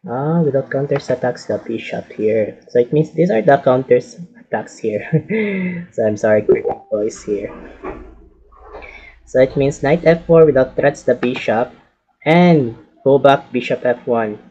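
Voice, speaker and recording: A man commentating a chess game with a hoarse voice from a cold, speaking halting English full of repeated filler phrases. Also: mid-range voice, dark tone. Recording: dull lo-fi audio.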